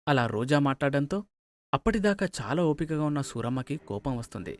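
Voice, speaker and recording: A man's voice: mid-range voice, very animated, fast talker, soft background music. A man narrating a scripted moral story.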